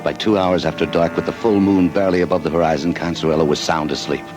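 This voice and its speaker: A man's voice: sits low, fairly even intonation, fast talker, close to an everyday voice. An actor playing a tough, hard-boiled adventurer who narrates his own story in clipped 1940s radio style. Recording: old radio broadcast with music bed.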